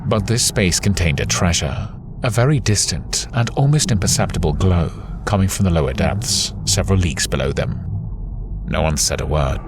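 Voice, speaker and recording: A man giving a dramatic scripted narration of an adventure story in a measured, suspenseful way. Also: low-pitched, background noise. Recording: loud music under the voice. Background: loud music.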